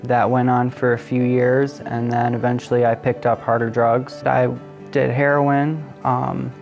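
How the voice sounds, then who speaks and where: Flat-voiced young man, matter-of-fact. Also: mid-range voice, unhurried, gravelly, soft background music. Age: 20s. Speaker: person in recovery. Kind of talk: personal testimony interview.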